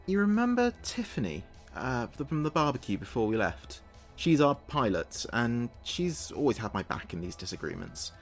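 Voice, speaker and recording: A man playing an earnest spaceship communications officer, speaking his log in a measured, precise way for a sci-fi audio drama. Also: medium pitch, big pitch swings, soft background music, lightly acted. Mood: tender, wistful.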